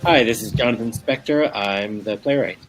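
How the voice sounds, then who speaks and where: A man speaking as a playwright guest, explaining conversationally in a halting, self-correcting way. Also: lowish voice, soft background music.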